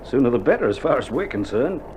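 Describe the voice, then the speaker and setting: Actor as a lively-voiced Australian army doctor, noisy old recording. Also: male voice, mid-range voice, fast, lightly acted. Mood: grave, matter-of-fact. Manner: colloquial, matter-of-fact, blokey. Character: weary, dry-humoured army doctor in a wartime field hospital. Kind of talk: recorded radio drama acting. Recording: dull vintage vinyl recording, loud noise.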